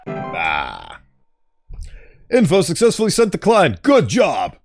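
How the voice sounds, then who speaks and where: A man with a smooth voice streaming a game, chatting casually and playfully reading game text aloud in a mock-formal tone. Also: fairly high pitch, wildly swooping pitch, takes it slowly, soft background music.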